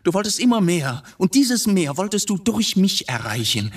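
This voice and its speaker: An actor in a radio drama playing a brooding middle-aged journalist who talks evasively, philosophically and with bitterness. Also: male voice, high voice, very animated, normal speed, husky, heavily acted. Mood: bitter, reproachful. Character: brooding middle-aged journalist confessing to killing his wife.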